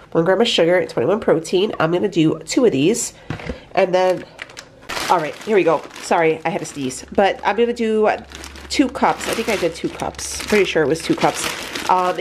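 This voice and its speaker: A woman's voice: on the low side, a little mellow, slight background noise. A young woman vlogger with a stuffy, nasal voice, chatting casually about her meals in her home kitchen on a handheld phone camera. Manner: chatty, rambling, upbeat. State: stuffy from allergies. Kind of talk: casual food diary chat.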